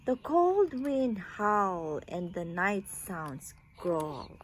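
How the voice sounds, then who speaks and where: A woman's voice: medium pitch, very animated, very slow, a little hiss or hum, poor audio. A woman reading a children's storybook aloud expressively, drawing out words and leaning on the sound words.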